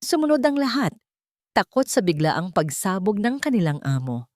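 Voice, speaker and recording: A woman's voice: medium pitch, big pitch swings, moderate pace, a little mellow, no echo, studio quality. A woman with a Filipino accent narrating a dramatic audio story, voicing the characters' lines herself.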